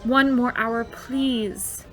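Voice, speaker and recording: A woman's voice: a little high, steady tone, very slow. Frank, protective teenage girl. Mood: anxious, apologetic. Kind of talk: audio drama acting.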